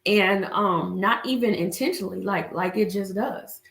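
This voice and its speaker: Woman, relationship coach, slightly raspy, chatty with restarts; mid pitch, roomy sound. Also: normal speed, a bit bright. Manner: chatty, many filler restarts. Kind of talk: casual live stream talk and book reading.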